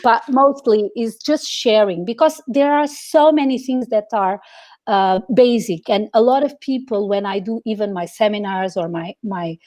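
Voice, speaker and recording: A woman from Portugal, an etiquette consultant, chatting on a video call with lively restarts. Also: mid-range voice, moderate pace. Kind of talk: casual video call chat.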